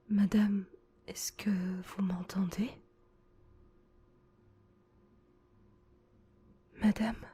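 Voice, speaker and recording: A gentle male school nurse, played by a woman, soothes a patient in a very breathy ASMR whisper. The voice is slow and mellow, with an even, hushed melody and a reassuring, attentive manner. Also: mid-range voice. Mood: soothing. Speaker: ASMR roleplay performer. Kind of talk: whispered French ASMR roleplay.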